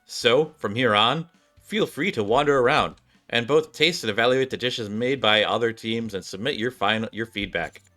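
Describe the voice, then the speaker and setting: A man's voice: mid-range voice, moderate pace, sharp tone, natural voice. Male reader as a pompous competition judge, formal and drawn out, game dialogue over faint music. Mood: formal, emphatic. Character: formal, grandiose cooking contest announcer.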